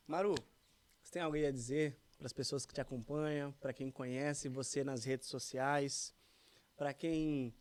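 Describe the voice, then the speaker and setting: A man hosting a casual podcast, with lots of loud exclamations. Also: a little high. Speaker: podcast host.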